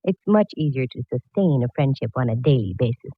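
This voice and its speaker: An actress playing a wry, quick-witted female private eye in a 1940s radio detective drama, breezy and wisecracking. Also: extremely low pitch, animated. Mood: wry narration. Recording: noisy, dull vintage radio recording.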